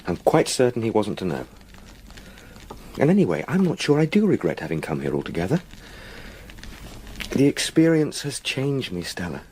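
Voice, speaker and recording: Earnest young English gentleman. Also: medium pitch, huge pitch swings, normal speed, pauses often, slight background noise, rough recording. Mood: reflective, earnest. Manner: polite, formal, earnest. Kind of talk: period radio drama acting.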